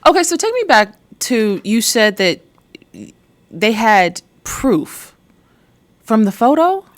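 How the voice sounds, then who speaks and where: A woman co-hosting a casual interview, who fires off quick, prodding questions and reacts with disbelief. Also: on the high side, very animated. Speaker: podcast co-host.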